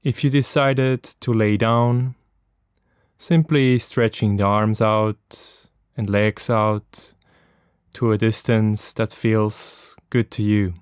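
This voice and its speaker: A man guiding a body scan meditation as a teacher, giving gentle, unhurried instructions with long pauses. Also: on the low side, fairly even intonation, clean tone, dry recording, studio quality.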